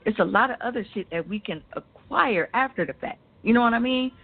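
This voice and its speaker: A woman's voice: a little high, animated, a little fast, vocal fry. A woman in her thirties with an American accent, chatting casually in a blunt, profane and emphatic way. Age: 30s. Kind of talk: casual chat. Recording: thin phone-like audio.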